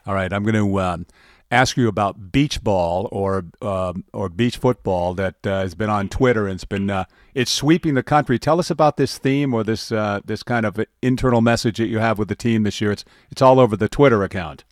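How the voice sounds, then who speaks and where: A man with a fairly low voice hosts a sports news segment and puts questions to a guest. His phrasing is loose and hesitant, dotted with filler sounds. The sound is dry with no room echo. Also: brisk. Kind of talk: interviewer asking questions.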